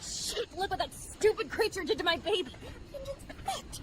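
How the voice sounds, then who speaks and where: A woman's voice: extremely high pitch, big pitch swings, normal speed, loud music behind the voice. A woman playing an angry, sarcastic, foul-mouthed rover driver in a video game. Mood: angry, bitter. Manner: sarcastic, sharp-tongued. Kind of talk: video game cutscene acting.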